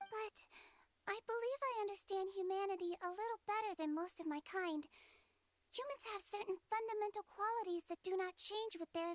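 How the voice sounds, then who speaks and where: A woman voicing a small, gentle, perceptive nonhuman nurse in a video game, calm and polite. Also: very high voice.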